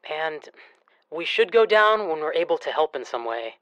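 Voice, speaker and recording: Casting: a steady, comforting father, dry studio drama sound. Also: fairly high pitch, normal speed, no room sound, poor audio. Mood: reassuring. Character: Rami, calm, reassuring father. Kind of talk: audio drama acting.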